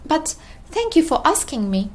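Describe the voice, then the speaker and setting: A woman voicing a polite, downhearted poor man in a children's storybook, gently and earnestly. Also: high voice, big pitch swings, moderate pace, voice pushed up.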